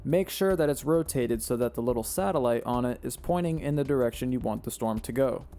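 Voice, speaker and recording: A young man narrates a game-building tutorial, his voice a little hoarse as if he's losing it. The tone is mellow and dark, the delivery steady and instructional as he reads settings one after another. Faint music plays quietly behind him. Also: mid-range voice, brisk. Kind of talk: step-by-step tutorial voice-over.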